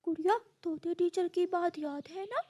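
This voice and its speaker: A woman plays an eager young bird in an animated moral tale. The voice is very high, simple and childlike, with a friendly manner. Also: moderate pace. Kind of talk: Hindi cartoon story voice acting.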